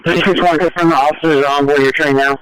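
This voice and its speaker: A man's voice: on the high side, steady tone, quick pace, fairly bright tone, smooth, no room sound, rough recording. A man working as a train dispatcher, giving procedural instructions courteously over a two-way radio. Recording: transit radio dispatch audio.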